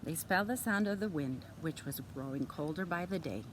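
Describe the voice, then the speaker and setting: A woman reading a children's story aloud expressively, with deliberate, teacherly phrasing. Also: lively intonation. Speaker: storytime reader. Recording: clear background noise, vehicle rumble. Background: background noise.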